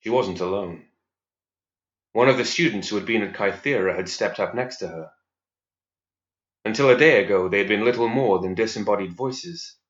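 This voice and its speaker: A man reading fantasy fiction as scripted narration in a measured, serious storytelling manner. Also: lowish voice. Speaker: story reader. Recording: roomy, slightly dull recording.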